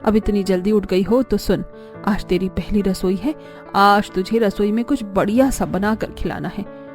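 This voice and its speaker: A woman acts as a simple, homely mother-in-law in a cartoon story. Her voice is mellow and medium in pitch, affectionate and approving, with music underneath. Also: fairly even intonation, moderate pace, close to an everyday voice. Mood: affectionate, instructing. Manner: affectionate, praising. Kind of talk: cartoon story dialogue acting.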